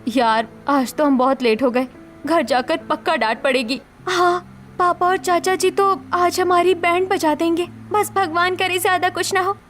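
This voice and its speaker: A woman's voice: very high-pitched, a little slow, a bit bright. A woman voicing a young college girl in a cartoon story, a stifled daughter who speaks earnestly and plaintively. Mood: worried. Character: young college girls, stifled, timid yet resentful daughters.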